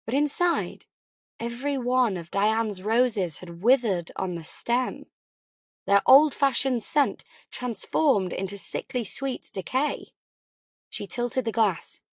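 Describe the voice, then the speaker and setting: A woman's voice: fairly high pitch, animated, slow, no echo. Woman narrating fiction, dry close sound. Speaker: audiobook narrator.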